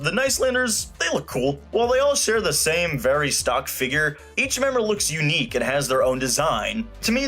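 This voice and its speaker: Male reviewer, scripted narration, fairly high pitch, emphatic, colloquial, opinionated. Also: fairly bright tone. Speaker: video movie reviewer. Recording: music playing behind the voice. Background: background music.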